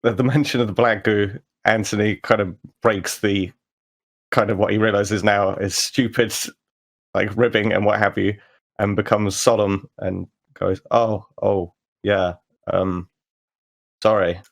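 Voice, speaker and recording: A man's voice: lowish voice, flat delivery, moderate pace, creaky. A man playing a tabletop roleplaying game, casually narrating what his character does as he makes it up.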